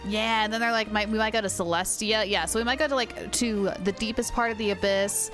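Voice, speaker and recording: A woman livestreaming a game, chatting casually with viewers in an excitable, gushing way. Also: mid-range voice, brisk. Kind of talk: casual live stream commentary and chat. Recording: game music behind voice.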